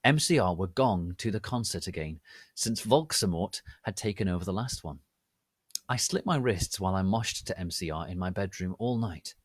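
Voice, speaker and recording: A man giving a theatrical, deliberate dramatic reading of fan fiction as its narrator. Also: low voice, dry recording. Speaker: narrator, reader.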